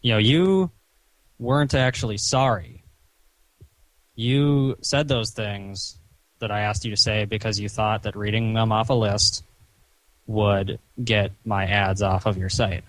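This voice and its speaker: A man talking on a recorded phone call in a stern, chiding, halting way, padding his sentences with "you know". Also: lowish voice, steady tone, fairly bright tone. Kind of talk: phone conversation, reproachful lecturing.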